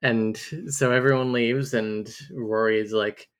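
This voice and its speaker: A woman's voice: very deep voice, steady tone, unhurried, clear, resonant tone. A woman co-hosting a casual book podcast, recapping chapters and reading passages aloud, laughing often. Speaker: podcast co-host.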